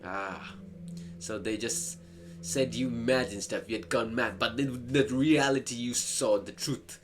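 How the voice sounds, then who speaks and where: A man's voice: mid-range voice, unhurried. Guy reacting to a horror game, mid voice, repeats himself, music going behind him. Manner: excitable, stammering, repeats himself. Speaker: gaming video host.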